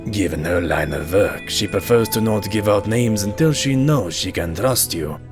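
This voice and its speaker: A man playing a sly, coolly menacing griffin henchman in a cartoon audio drama, with a smooth, insinuating manner. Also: fairly low pitch, expressive, normal speed, natural voice. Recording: music playing behind.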